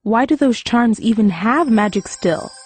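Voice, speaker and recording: A woman's voice: a little high, lively intonation, measured pace, husky, faint music behind. A woman playing an earnest teenage girl mage in a full-cast fantasy audiobook. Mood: worried, urgent.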